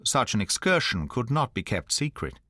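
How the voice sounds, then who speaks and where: A man narrating an audiobook in the first person, at a measured, even storytelling pace. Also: medium pitch, no echo, studio quality.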